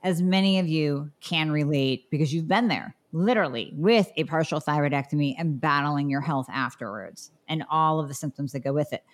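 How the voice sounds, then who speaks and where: A woman's voice: low voice, moderate pace, few pauses. A woman hosting a casual health interview, chatty and enthusiastic, opening with the guest's bio. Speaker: podcast host.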